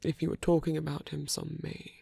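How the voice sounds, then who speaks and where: A man playing a gentle, shy vampire priest in an intimate ASMR roleplay, speaking in a husky half-whisper with a hesitant, apologetic manner. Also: on the high side, monotone, dry recording. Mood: soft, musing. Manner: hesitant, halting, apologetic, rambling.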